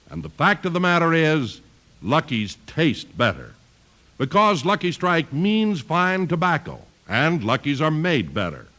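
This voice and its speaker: A man's voice: fairly high pitch, fairly bright tone. A man announcing formally and emphatically from a script on 1950s radio. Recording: vintage 1950s radio recording.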